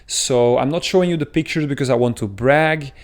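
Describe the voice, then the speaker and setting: A man with a thick Belgian accent speaks straight to the viewer in an advice video. He sounds earnest and explanatory, leaning on frequent little filler sounds. His pitch sits in the ordinary middle range for a man. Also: a little fast. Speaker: dating coach. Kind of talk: talking-head advice video.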